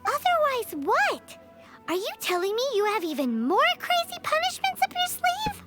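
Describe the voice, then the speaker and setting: Very high, squeaky fairy girl. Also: wildly swooping pitch, normal speed, loud music behind the voice, full character voice. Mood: alarmed. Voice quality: squeaky, childlike. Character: small floating fairy girl companion, childlike, excitable, fretful. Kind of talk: video game voice acting.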